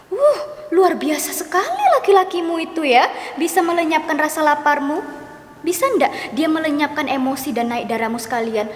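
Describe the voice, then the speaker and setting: A woman's voice: high voice, slight background noise. A woman playing a wry older sister who dodges questions and scolds her younger sibling, in a staged dramatic reading. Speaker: dramatic reader. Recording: echoey hall with long reverb.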